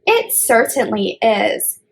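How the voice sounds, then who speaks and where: An insistent storybook father goat who disapproves of fussiness, read by a woman. The delivery is slow and expressive, with lots of rise and fall. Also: on the high side, vocal fry, full character voice.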